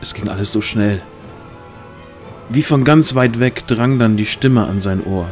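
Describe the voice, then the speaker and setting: Low-voiced male fantasy narrator. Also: unhurried, a little mellow. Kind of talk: dramatic scripted fantasy audio drama narration. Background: music.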